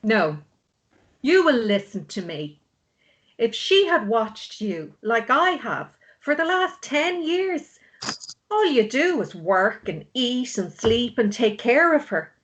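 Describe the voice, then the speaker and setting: Woman playing a slow, earnest, insistent confidante. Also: on the high side, big pitch swings. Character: caring, insistent confidante urging a man onward. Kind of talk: drama table read.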